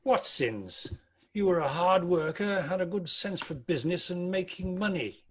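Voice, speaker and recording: Man acting old miser, high-pitched voice, frightened, stubborn, amateur drama table read. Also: lively intonation, moderate pace, a bit bright, a little hiss or hum. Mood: defensive.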